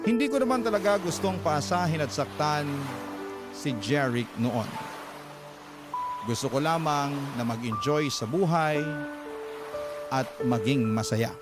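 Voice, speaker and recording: A man with a Filipino accent reading a letter as dramatic scripted narration, as host of a radio drama. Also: medium pitch. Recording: loud music under the voice. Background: loud music bed.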